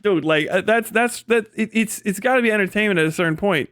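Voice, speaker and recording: A man hosting a livestream, giving casual, profanity-laden political commentary in a rambling, animated manner. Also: sits high, fast, clear, resonant tone, no echo, studio quality. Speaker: livestream host.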